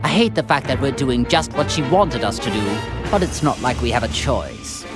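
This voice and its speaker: Game villain, imperious alien tyrant: cold scorn, theatrical swings in pitch, music underneath. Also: male voice, big pitch swings, moderate pace. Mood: commanding. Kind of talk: video game voice acting.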